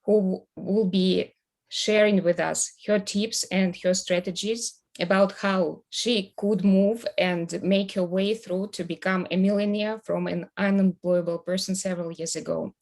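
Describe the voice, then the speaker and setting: A woman hosting an online talk who introduces the guest in a measured, upbeat way. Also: medium pitch, fairly even intonation, darkish tone. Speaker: host.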